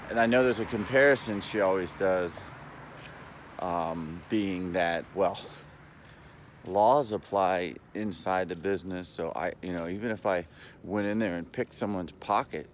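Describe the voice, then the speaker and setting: A man giving a rambling, casual monologue as a vlogger, explaining in a halting way and checking in as he goes. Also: low voice, a little hiss or hum.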